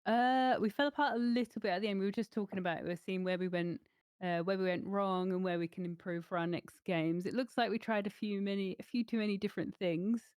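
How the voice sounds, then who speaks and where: A woman team captain in a casual post-match interview, a bit hoarse from losing her voice, upbeat and quick to laugh. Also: medium pitch, steady tone, darkish tone, no room sound, studio quality. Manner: laughs easily, upbeat, rambling.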